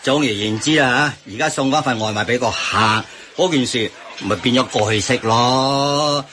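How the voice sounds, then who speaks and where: An actor playing an excitable, argumentative Hong Kong teahouse delivery man in a radio comedy, full of exclamations. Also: mid-range voice, moderate pace, a little hiss or hum, natural voice. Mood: conciliatory. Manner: exclamatory, protesting. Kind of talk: Cantonese radio comedy acting.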